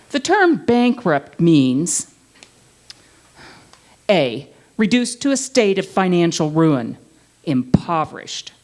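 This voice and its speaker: A woman giving a theatrical scripture reading with emphatic, deliberate phrasing. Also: deep voice, a little mellow. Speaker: reader in a group dramatic reading.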